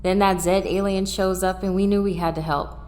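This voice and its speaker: A woman's voice: mid-range voice, steady tone, a little fast, a little mellow, close to an everyday voice. An actress playing a candid, bewildered teenage girl superhero recruit in an audio drama, talking casually. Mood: puzzled. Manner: casual, frank. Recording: reverberant hall sound.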